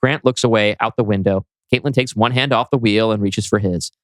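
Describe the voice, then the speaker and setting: A man's voice: fairly low pitch, a little fast, no echo. A man reading scene directions from a script as a podcast host. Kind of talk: scripted narration of a screenplay. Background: music.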